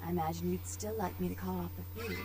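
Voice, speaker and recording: A woman's voice: lowish voice, steady tone, fast, over music, close to an everyday voice, poor audio. An actress playing a cold, imperious female vampire club owner, haughty and commanding in a formal, clipped way, in a video game. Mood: cold, measured.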